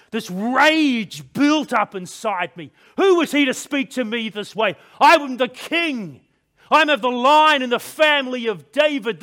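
Proud biblical king , performed by a man: high, forceful voice, emphatic dramatic monologue, quick to wrath. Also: heavily acted. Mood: indignant rage. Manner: theatrical, emphatic, with dramatic pauses. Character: proud, wrathful king of Judah telling his own downfall.

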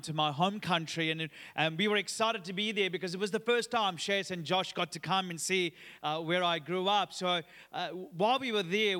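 A man in his thirties, originally from Sri Lanka, gives an upbeat, chatty sermon as a guest pastor. His voice sits high and sounds bright and forceful, and he drops in frequent "uh" fillers. The sound carries the long reverb of a church hall. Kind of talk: sermon with personal story. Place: church auditorium.

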